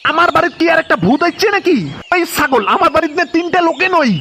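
A man's voice: very high-pitched, fast, bright, forceful tone, full character voice. A man voicing a scolding, insulting village father in a comedy cartoon, with a North Bengal accent and a coarse, mocking manner. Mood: angry, mocking. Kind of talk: comedy cartoon dialect dialogue. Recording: faint background noise with clicks.

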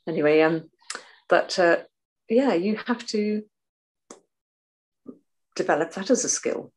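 A woman in her sixties with a soft voice, talking animatedly as a guest on a video call from a room at home. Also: lowish voice, takes it slowly. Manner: animated, waves arms while talking. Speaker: guest author and artist. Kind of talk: casual video call interview.

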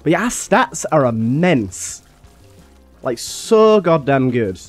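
A young man with a slightly raspy voice from being a little ill, chatting casually and thinking aloud as he plays a game. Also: medium pitch, very animated, slow, faint music behind. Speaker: gaming YouTuber. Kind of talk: casual gameplay commentary.

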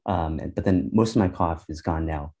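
Stuffy-nosed guy on a video call, deep voice, lots of ums and uhs. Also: normal speed, warm tone, breathy edge. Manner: hesitant, many fillers and restarts. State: congested from a cold. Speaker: guest Bible teacher. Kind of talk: casual testimony.

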